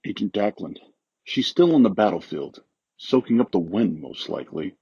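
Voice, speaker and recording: A man's voice: lowish voice, lively intonation, moderate pace, warm tone. A man playing a dutiful subordinate agent in an audio drama, heard over a comm-link filter. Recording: muffled, noisy comm line.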